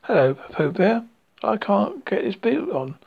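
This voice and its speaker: A bossy, matter-of-fact young boy, as a storybook reader voices him, spoken plainly and a little offhand. The tone has a gravelly, breathy grain. The recording sounds dull and lo-fi. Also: medium pitch, sing-song, unhurried, exaggerated voice. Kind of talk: storybook reading.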